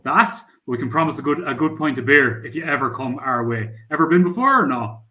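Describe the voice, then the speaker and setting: A man's voice: mid-range voice, lively intonation, brisk, pushed and bright. Middle-aged irish guy, founder on a podcast, rambles a lot, says i suppose constantly. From Ireland. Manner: rambling, frequent "I suppose" fillers. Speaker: startup founder. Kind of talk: casual podcast interview.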